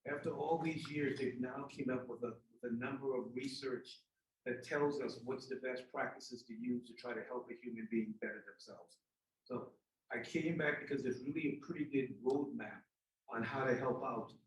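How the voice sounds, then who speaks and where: An echoey, slightly distant recording of a man in his sixties speaking at a forum. His accent is Northern US with a New England tinge, and his pitch is medium. He talks in a candid, plain-spoken way, like an official addressing an audience. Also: fairly even intonation, moderate pace. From Charleston, South Carolina. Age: 60s. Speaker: corrections official, guest speaker. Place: indoor room at a public forum. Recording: echoey room, distant microphone.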